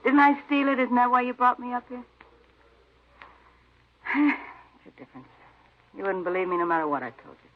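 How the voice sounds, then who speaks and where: A woman's voice: a little high, sing-song, normal speed, pauses often, narrow, tinny audio, a little hiss or hum. An actress playing a tough, sardonic female ex-convict under questioning in an old-time radio drama, slangy and defiant. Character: tough, sardonic working-class female ex-convict burglary suspect.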